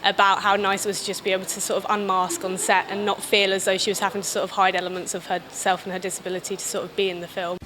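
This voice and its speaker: A woman's voice: medium pitch, flat delivery, quick pace, few pauses. A British woman giving casual interview answers as a film director, in a hesitant, rambling way. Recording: clear background noise.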